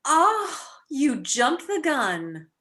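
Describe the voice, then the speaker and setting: A woman's voice: high voice, sing-song, drawn-out words, sharp tone, heavily acted. A woman playing a clever, teasing young society wife with playful, wry delivery in a radio play. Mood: curious, surprised.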